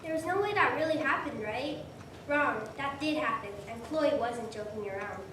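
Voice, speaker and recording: A woman playing an earnest, plucky teenage schoolgirl with expressive stage delivery in a reader's theater performance. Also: high-pitched, moderate pace. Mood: uneasy, disbelieving. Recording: distant mic in echoey room, faint noise.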